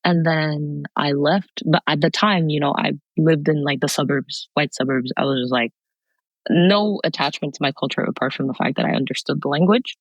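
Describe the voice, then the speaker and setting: A woman's voice: low-pitched, normal speed, no room sound, highs cut off. A young woman from Haiti and Canada, a podcast guest, talking about her background in a casual, rambling way. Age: young adult. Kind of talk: casual interview talk.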